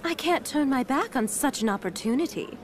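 A woman's voice: sits high, expressive, brisk, a little hiss or hum. A woman playing a haughty, confident young heiress fighter in a video game, speaking in a formal, commanding way. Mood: confident, composed.

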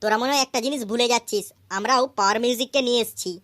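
A woman's voice: on the high side, very fast, fairly bright tone, no room sound, clean studio sound, lightly acted. A woman voicing a loyal, scrappy cartoon sidekick who chatters and teases cheekily. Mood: taunting. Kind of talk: cartoon dialogue.

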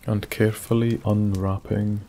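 A Scottish man narrating a bedtime story in a soft-spoken, soothing ASMR style, with long pauses. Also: on the low side, little pitch movement. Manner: slow, soothing, hushed delivery with long pauses. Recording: faint background noise. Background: soft nature ambience.

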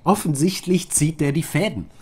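A man's voice: on the high side, moderate pace, breathy edge. A man acting as a suspicious, inquisitive investigator in a crime audio drama.

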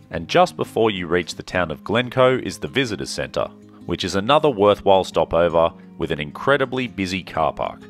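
A man narrating his travel vlog in a calm, measured, scripted way. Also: low voice. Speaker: travel vlogger.

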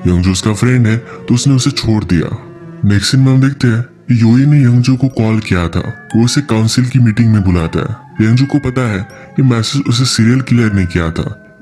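A man's voice: lowish voice, a little mellow. A man giving a scripted movie-recap narration, telling the story in a plain, explanatory manner with simple sentences. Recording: music under the voice. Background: background music.

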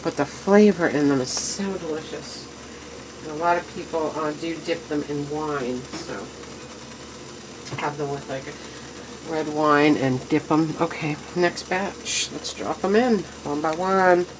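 A woman's voice: sits low, a little slow, noisy background. A woman hosting a casual home cooking demonstration in her kitchen, chatty and enthusiastic, talking to her viewers as she works at the stove. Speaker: home cooking show host.

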